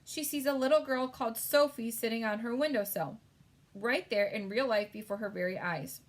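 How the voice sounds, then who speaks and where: A clever little girl from a children's storybook played by a woman reading aloud. She is excited and bossy, speaking in a high, emphatic voice as she gives instructions. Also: moderate pace, close to an everyday voice.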